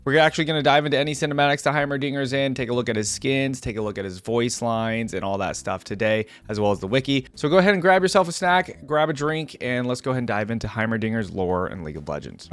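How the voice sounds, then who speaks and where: A man speaks as the host of a casual reaction video, at a middling pitch. He moves between reading text aloud and offering his own chatty, conversational commentary. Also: dry recording.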